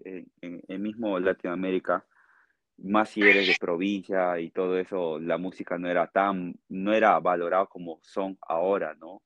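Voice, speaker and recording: A man with a Peruvian accent answering interview questions conversationally as a guest, explaining in a halting way and often checking with a short tag question. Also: deep voice, a bit bright, no echo, dull audio. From Peru. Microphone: remote call.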